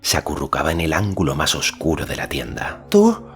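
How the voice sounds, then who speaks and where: A man's voice: extremely low pitch, brisk, fairly bright tone. A man narrating a fantasy audiobook in a scripted, dramatic literary storytelling style. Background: faint music.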